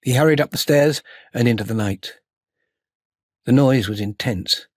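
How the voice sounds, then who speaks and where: A man narrating an audiobook in a scripted, dramatic style, with measured, suspenseful delivery. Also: medium pitch, a little mellow, no echo, studio quality.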